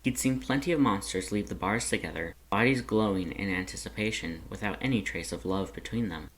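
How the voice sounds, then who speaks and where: A narrator reading scripted fan fiction aloud in an expressive, dramatic way. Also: sits low, fairly quick.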